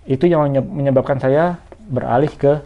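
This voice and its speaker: A man's voice: mid-range voice, fairly quick, dull audio. A man talks viewers through a casual product review in a conversational, explanatory way. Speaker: motorcycle gear reviewer.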